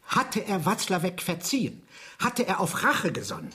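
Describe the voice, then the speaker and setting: An actor playing an anxious, doubting, nitpicking man in a German radio drama, speaking haltingly but insistently. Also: high-pitched, huge pitch swings, pushed and bright, breathy edge, full character voice. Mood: doubtful, subdued. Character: anxious, doubting, nitpicking male accident suspect. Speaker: crime-fiction editor and client.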